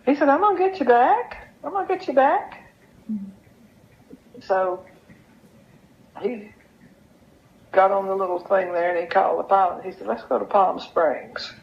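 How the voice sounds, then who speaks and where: An older woman tells anecdotes in an unhurried, folksy way, repeating phrases for emphasis as an interview guest. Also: medium pitch, animated, vocal fry. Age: older, over 70. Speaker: interview guest sharing memories. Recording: dull, lo-fi sound.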